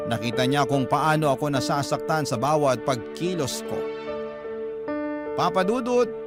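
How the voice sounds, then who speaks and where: A male radio narrator giving an earnest, dramatic first-person reading of a listener's letter. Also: fairly high pitch. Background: loud music.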